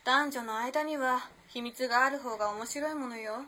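A woman's voice: sits high, moderate pace, sharp tone. An actress playing a teasing, self-possessed, mysterious modern girl of the 1910s in a radio drama, using old-fashioned feminine speech. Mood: coy. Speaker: actor in amateur radio drama. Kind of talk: Japanese radio drama acting, storytelling. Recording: noisy background ambience.

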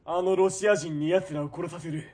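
A man playing a ruthless, greedy military police commander in a period radio drama, domineering and sneering, moving between cold murmurs and shouting. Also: sits high, steady tone, normal speed. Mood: manic, menacing.

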